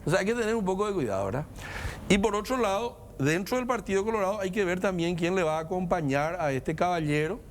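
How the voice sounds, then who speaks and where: A man's voice: sits high, animated, slight background noise. Non-native man lecturing on politics. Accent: non-native Spanish. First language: not Spanish. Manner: measured, lecturing, emphatic lists. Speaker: guest commentator. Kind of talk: political discussion.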